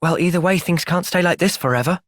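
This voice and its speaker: A man's voice: fairly high pitch, fast talker, fairly bright tone, no room sound, natural voice. Man acting an earnest young British soldier, dry studio sound. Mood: calm, considering. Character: earnest, thoughtful young male soldier, gentle but resolute. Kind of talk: video game cutscene voice acting.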